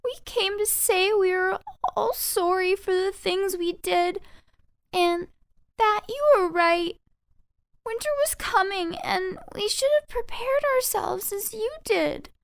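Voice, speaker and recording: A woman voicing an excitable little meadow mouse for a children's storybook, squeaky, childlike and pleading. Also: takes it slowly, vocal fry, no echo, full character voice. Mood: apologetic. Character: playful, then frightened, pleading little meadow mice.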